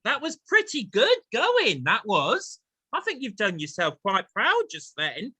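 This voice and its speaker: English man, chat show host, very bright tone, animated intonation, chatty, encouraging. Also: high voice, unhurried, breathy edge, no room sound. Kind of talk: chatty interview and quiz hosting.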